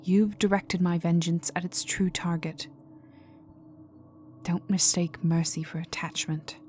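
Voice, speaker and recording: A woman playing a cold, vengeful aristocratic vampire in a dark ASMR roleplay, speaking formally and menacingly and often sinking to a whisper. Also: on the low side, fairly even intonation, a little slow, a little hiss or hum, lightly acted. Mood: stern, guarded. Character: cold vengeful aristocratic female vampire, young heiress in mourning.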